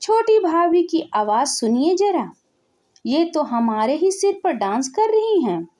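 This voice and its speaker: A woman's voice: high voice, sing-song, slow pace, darkish tone, pitched above the performer's own voice, exaggerated voice. A woman storyteller voicing a petulant married young daughter, indignant and complaining to her father. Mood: hurt, indignant. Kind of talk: Hindi family storytelling.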